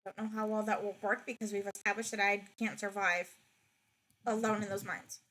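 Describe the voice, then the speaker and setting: A woman's voice: medium pitch, little pitch movement, a little fast, fairly bright tone, poor audio. A young woman chatting casually while playing a game, rambling with frequent restarts. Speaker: streamer. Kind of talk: casual gaming chat.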